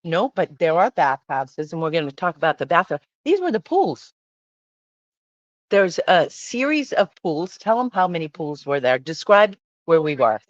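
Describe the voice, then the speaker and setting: A woman's voice: on the low side, big pitch swings, moderate pace, no room sound. Chatty, emphatic woman, slightly dull recording. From born in Hungary. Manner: chatty, emphatic, asks questions. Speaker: travel vlog host. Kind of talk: casual travel talk.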